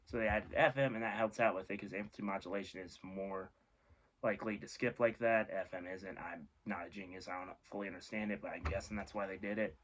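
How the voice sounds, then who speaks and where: A man's voice: lowish voice, fairly even intonation, brisk, fairly bright tone. A man giving a casual, informal explainer as a video host, rambling and offhand, often correcting himself. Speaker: host of a radio hobby video series.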